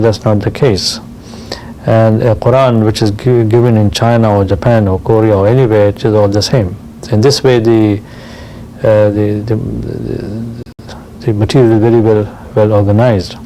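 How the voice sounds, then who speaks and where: A man with a low, dark voice explains his point haltingly and repeats words as he searches for them. A faint background noise runs under him. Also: steady tone, poor audio. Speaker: interviewee. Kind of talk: explanatory interview answer.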